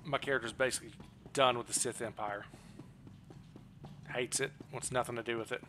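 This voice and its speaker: A man's voice: medium pitch, fairly even intonation, a little fast, pushed and bright, a little hiss or hum. A man streaming a game with casual live commentary in a playful, teasing manner. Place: indoor room at a streaming setup. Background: game music.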